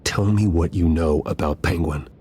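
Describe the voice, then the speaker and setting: An actor playing a grave, determined billionaire vigilante in a video game, earnest, clipped and controlled. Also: male voice, low-pitched, normal speed, darkish tone, a little hiss or hum, lightly acted. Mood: earnest, urgent.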